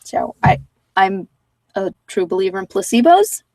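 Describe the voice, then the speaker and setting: A soft-spoken woman with a mid-pitched voice hosts a guided ASMR meditation in a casual, rambling way. She pauses often and sometimes slips toward a whisper. The sound is dry, with her voice set a little back from the microphone. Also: sing-song, dry recording, recorded from a distance, poor audio. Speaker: host of guided meditation sessions.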